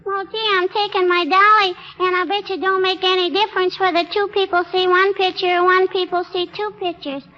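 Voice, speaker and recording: A woman's voice: very high voice, level tone, fairly quick, hardly any pauses. A woman playing a chatty, stubborn little girl in 1930s radio comedy, talking in a childish, contrary way. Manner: childish, contrary, repeats a catchphrase. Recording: dull old radio recording with music.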